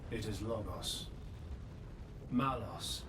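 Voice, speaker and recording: An actor playing a solemn, remorseful, godlike old creator in a scripted video game drama, speaking in measured, philosophical phrases. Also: male voice, fairly low pitch, background noise. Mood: grave. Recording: roomy long reverb, distant, faint music.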